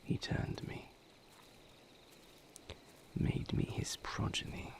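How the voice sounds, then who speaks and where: A man playing a weary vampire lover who confides a painful past in a hushed, halting, solemn voice, as an intimate audio roleplay. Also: slight background noise, close to an everyday voice. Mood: somber, haunted. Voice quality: hushed, breathy whisper with gravelly creak.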